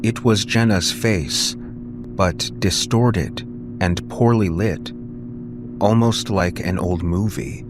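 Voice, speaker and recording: A man narrating a scripted story as an audiobook, in a measured, deliberate and dramatic way. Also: sits low, over music.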